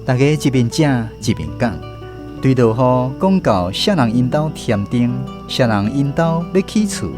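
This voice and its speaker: Male radio host-narrator, Taiwanese accent, mid-range voice with expressive swings, scripted storybook delivery over a music bed. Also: medium pitch, normal speed.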